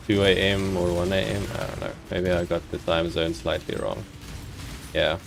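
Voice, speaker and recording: A man from South Africa with a slightly Americanized South African accent, chatting casually and haltingly with viewers while streaming a game. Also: low voice, a little hiss or hum. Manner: relaxed, chatty, halting with many ums and restarts, chuckles.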